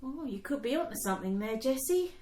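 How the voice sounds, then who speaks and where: An actress playing a kindly, timid young woman in a wartime radio drama, with a gentle, proper manner. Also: high-pitched, animated, fast. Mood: hesitant. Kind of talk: period war radio drama acting. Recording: echoey with faint noise.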